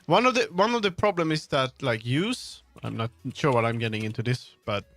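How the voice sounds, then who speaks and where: A man's voice: medium pitch, lively intonation, a little fast, a bit bright. A man chatting casually on a livestream while he works, rambling, thinking aloud and often trailing off mid-sentence. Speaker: livestreamer, game developer. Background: background music.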